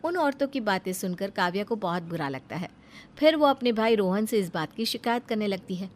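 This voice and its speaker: A woman narrating a cartoon bedtime story in an even, storytelling delivery. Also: medium pitch, brisk.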